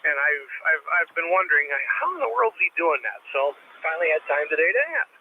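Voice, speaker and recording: A man's voice: on the high side, lively intonation, fast talker. A man with a thin, tinny voice chatting casually as an amateur radio operator over a radio link. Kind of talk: casual ham radio chat. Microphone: studio dynamic mic into a radio transceiver. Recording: tinny narrow-band radio link with static.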